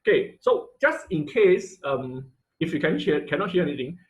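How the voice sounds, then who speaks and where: A man teaching an online class in an informal, halting tutorial style, often checking in with listeners. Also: on the high side, sing-song, highs cut off. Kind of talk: informal tutorial with live demonstration. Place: online video call class.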